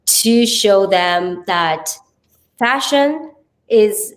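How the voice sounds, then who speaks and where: Earnest Sri Lankan woman, mid-pitched voice. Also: animated, drawn-out words, rough recording. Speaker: podcast guest, TV presenter and radio host. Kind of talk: conversational interview self-introduction and explanation.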